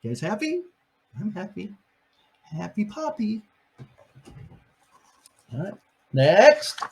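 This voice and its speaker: A man's voice: high voice, big pitch swings, slow, gravelly, slightly rough. An older man, a grandfather, reading a picture book aloud to his grandchildren and chatting about each page. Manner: chatty, explains each page in plain words.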